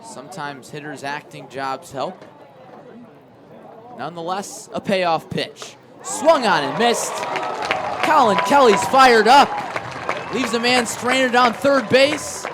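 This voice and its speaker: A man's voice: high voice, slow. A man calling sports as a play-by-play announcer and anchor, in a commentary style. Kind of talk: sports commentary and highlight narration. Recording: crowd and outdoor noise behind. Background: crowd and ambient noise.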